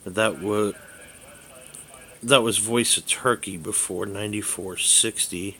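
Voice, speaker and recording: Male hobbyist vlogger, low-pitched and forceful in tone, casual walkthrough, meandering and hesitant, faintly noisy recording. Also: fairly even intonation, husky. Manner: halting, rambling, thinking aloud. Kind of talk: casual hands-on app demonstration.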